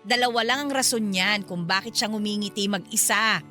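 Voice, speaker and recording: A woman playing a chatty, teasing middle-aged neighbor in a radio drama, with a Filipino accent and an animated, gossipy manner. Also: medium pitch, a little fast, soft background music, close to an everyday voice. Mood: curious, teasing.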